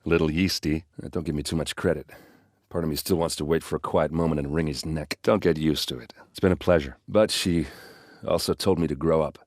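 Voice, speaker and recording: Deep, gruff warrior captain acted by a man. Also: no echo, clean studio sound, natural voice. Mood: wry, casual. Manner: blunt, wry, informal. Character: gruff hard-drinking warrior captain, brash, jokey, loyal. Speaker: game character voice actor. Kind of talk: video game dialogue and combat lines.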